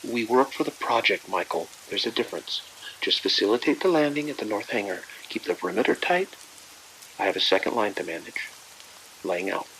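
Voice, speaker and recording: A man's voice: lowish voice, sing-song, brisk, vocal fry, fairly bright tone, exaggerated voice, rough recording. An actor playing a cold, ruthless male project administrator in an audio drama, giving clipped, detached orders. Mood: cold, commanding. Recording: faint hiss and frying static.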